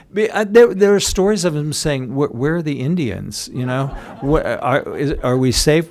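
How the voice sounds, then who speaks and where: A man's voice: medium pitch, darkish tone. Man giving an explanatory author talk. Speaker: author speaking about his book. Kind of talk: public book talk with audience questions.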